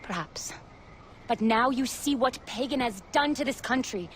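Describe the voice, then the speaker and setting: An actress playing a weary, respectful female rebel in a video game, sincere and soft-spoken. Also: on the high side, expressive, normal speed, a little hiss or hum. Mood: shy, grateful. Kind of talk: video game cutscene acting. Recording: music behind the voice.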